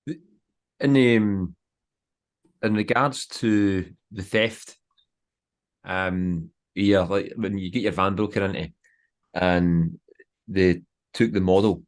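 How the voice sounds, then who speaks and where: Very low-voiced Scottish man, halting questions. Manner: hesitant, filler-heavy questions. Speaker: podcast host. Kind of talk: casual interview questions.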